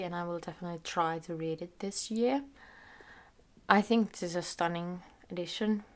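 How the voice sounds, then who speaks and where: A young woman from Finland, a native Finnish speaker who is sick, chatting loosely to camera about her reading from a small room. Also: on the low side. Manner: chatty, loose, thinking aloud with fillers. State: sick, slightly hoarse. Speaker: book vlogger. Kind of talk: casual chat to camera. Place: small room in front of a bookshelf. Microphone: camera in front at desk distance.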